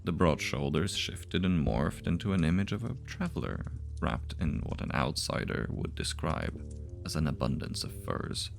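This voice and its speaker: Low creaky guy narrating a dark fantasy horror tale, measured, music playing behind. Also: lively intonation. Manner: measured, literary storytelling. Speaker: author reading their own story. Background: music bed.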